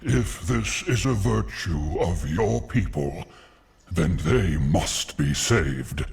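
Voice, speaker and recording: An actor playing an ancient, benevolent nature spirit in a fantasy game, gravelly, solemn and formal. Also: male voice, low voice, lively intonation, takes it slowly, exaggerated voice. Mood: solemn, kindly.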